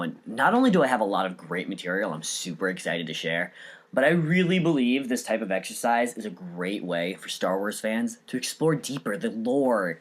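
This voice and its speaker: Lively, earnest male podcast host. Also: lowish voice. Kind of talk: scripted solo podcast narration.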